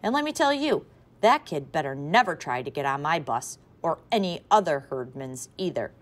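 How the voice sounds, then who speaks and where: A woman reading aloud voices a frazzled mother who drives a school bus, talking loudly and in exasperation. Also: low-pitched, sing-song, normal speed. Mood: indignant. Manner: loud exasperated shrieking.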